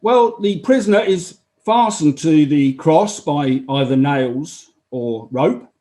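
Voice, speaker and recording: A man's voice: a little high, takes it slowly, warm tone. A man acting as a matter-of-fact Roman army officer who gives unemotional, explanatory testimony in a dramatized inquiry. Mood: matter-of-fact, official.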